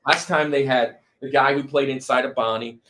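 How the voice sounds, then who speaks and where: An enthusiastic male podcast host with a medium-pitched voice. He talks casually and tends to repeat short phrases as he goes, in the loose style of banter among friends. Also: fairly even intonation, moderate pace, bright, forceful tone, slight background noise.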